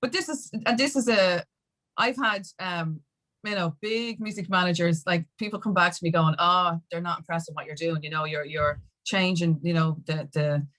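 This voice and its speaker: An Irish woman with a slightly low-pitched voice speaks as a guest in a casual interview. Her talk is chatty and emphatic, sprinkled with conversational tags. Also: moderate pace, fairly bright tone. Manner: chatty, emphatic, peppered with "like" and "you know". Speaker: interview guest, music industry activist.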